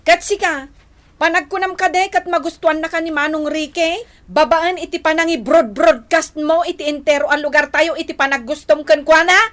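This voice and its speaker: An actress playing a cheeky, feisty young village woman in an Ilocano radio drama, sassy and quick to retort. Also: high-pitched, steady tone, a bit bright. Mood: scornful, feisty.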